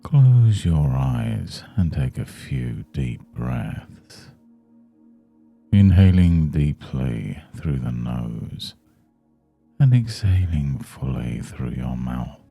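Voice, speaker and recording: A man leading a guided meditation in a soothing, measured, hushed manner. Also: very deep voice, big pitch swings, vocal fry, husky, faint music behind. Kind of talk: guided Yoga Nidra meditation.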